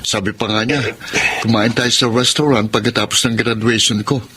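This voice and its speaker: A man's voice: mid-range voice, fairly even intonation, normal speed, sharp tone, husky. A man playing a polite but aggrieved college-age son in a Filipino radio drama. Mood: polite, hopeful. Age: young adult.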